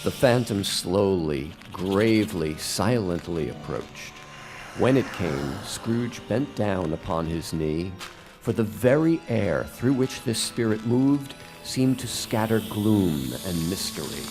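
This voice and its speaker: Slow solemn guy narrating a radio drama, music playing behind. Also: low-pitched, animated. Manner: solemn, measured.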